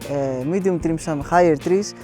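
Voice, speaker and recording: A man explaining a volunteer project he coordinates, earnest, pausing with fillers. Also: fairly high pitch, dark tone. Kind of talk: documentary interview. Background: guitar music.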